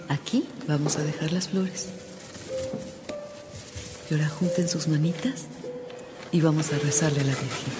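An actress playing a devout, gentle mother in a radio drama, soothing and reverent in manner. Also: fairly low pitch, natural voice. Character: devout, gentle mother guiding her young children. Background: music.

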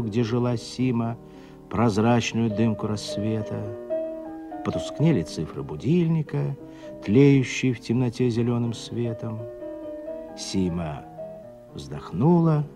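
Guy narrating a radio play, bright forceful tone, measured storyteller, bit of music behind. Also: mid-range voice. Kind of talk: scripted literary narration for radio drama.

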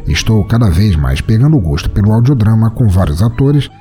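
A Brazilian man hosting a podcast and narrating scripted fiction in an expressive, theatrical way. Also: lowish voice, brisk, soft background music. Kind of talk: dramatic scripted short-story narration.

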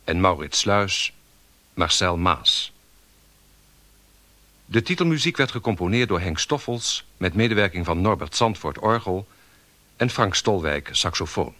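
A man reading scripted radio drama credits in a measured announcer's style. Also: sits low, lively intonation, sharp tone, no room sound.

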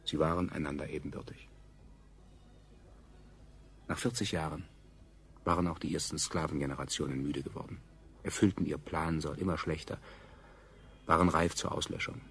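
A former slave operator who narrates a dystopian radio play. His deep voice crackles with gravel as he reflects soberly and in measured phrases. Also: heavily acted. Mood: sober, reflective. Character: former operator slave recalling his rulers' cold regime. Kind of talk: scripted narration in 1970s science fiction radio drama.

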